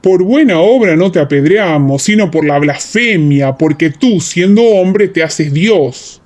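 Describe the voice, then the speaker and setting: A woman voicing indignant, accusing Judean elders in a dramatized audiobook, with emphatic, drawn-out phrasing and an echo. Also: fairly low pitch, lively intonation, darkish tone, pitched below the performer's own voice.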